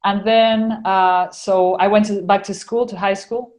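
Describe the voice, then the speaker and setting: A woman's voice: medium pitch, steady tone, unhurried, a little mellow. Chatty woman with an Argentinian accent. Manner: chatty, many fillers and restarts. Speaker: podcast guest. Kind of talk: casual interview conversation.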